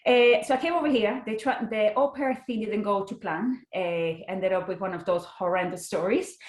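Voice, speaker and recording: A woman's voice: medium pitch, moderate pace, echoey room. A young adult woman from Spain with a Spanish accent, a podcast guest chatting casually with frequent restarts. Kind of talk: casual interview chat.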